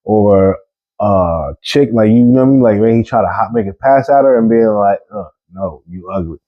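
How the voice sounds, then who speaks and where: A man's voice: on the low side, moderate pace, no room sound, highs cut off. A man co-hosting a comedy podcast who rambles through a half-serious point, full of filler words. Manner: rambling, many "like" and "you know what I mean" fillers, half-serious musing. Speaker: podcast co-host. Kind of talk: casual comedic podcast banter.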